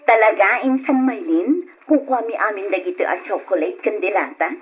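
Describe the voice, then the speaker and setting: A woman acting a humble, long-suffering mother and struggling wife in an old radio drama, in a husky voice. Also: mid-range voice, expressive, moderate pace, over music, close to an everyday voice. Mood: grateful. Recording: dull lo-fi old radio recording.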